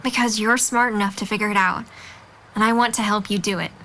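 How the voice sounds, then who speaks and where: A woman's voice: medium pitch, steady tone, brisk, fairly bright tone, a little hiss or hum, close to an everyday voice. A woman acting a kind, encouraging young friend in an audio drama, speaking with patient, sincere reassurance. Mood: earnest.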